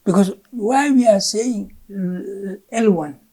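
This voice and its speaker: Gambian woman, mellow husky voice. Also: mid-range voice, slow pace. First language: Fula. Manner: emphatic, repeats words when searching. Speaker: curriculum official, interview guest. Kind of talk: explaining education policy in an interview.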